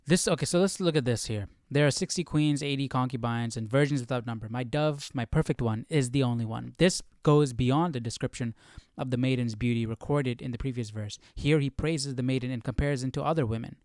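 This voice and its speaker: A man's voice: medium pitch, brisk, few pauses, darkish tone, no echo, clean studio sound. A man hosting a Bible study stream, reading aloud and musing in an informal, questioning way. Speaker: host of Bible study livestream.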